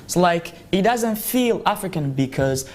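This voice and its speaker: A woman panelist with a slightly low, highly animated voice debates at a round table. She argues emphatically, swinging her intonation widely and repeating key phrases to press her point. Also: unhurried, a little hiss or hum.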